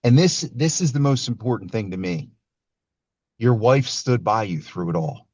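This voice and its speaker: A man's voice: fairly low pitch, animated, moderate pace, a little mellow, no room sound, highs cut off. A man hosting a podcast over a remote call, earnestly reading out his guest's story and calling him brother. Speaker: podcast host. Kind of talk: casual interview intro. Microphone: remote video call.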